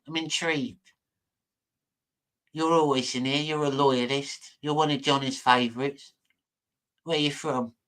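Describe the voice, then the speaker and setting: A man with a bright, forceful voice, pitched a little high, speaks in a northern English accent mixed with London. He hosts a casual livestream chat, blunt and slangy, often repeating phrases as he answers viewers. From Manchester, northern England, later lived in London. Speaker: livestream host chatting with viewers. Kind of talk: casual chat, reading and answering live comments.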